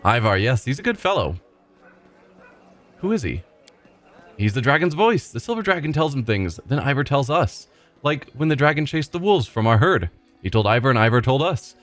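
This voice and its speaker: A man's voice: mid-range voice, very animated, moderate pace, long pauses, a bit bright. A man voicing a cheerful, simple-minded male village fisherman who rambles and repeats himself proudly. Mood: eager. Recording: faint game music behind.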